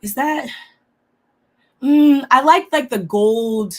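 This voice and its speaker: A woman co-hosting a reality TV recap. She is a little under the weather and talks in a relaxed, halting way with many fillers. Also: high-pitched. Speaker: co-host of a reality TV recap show. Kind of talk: casual chat reviewing looks.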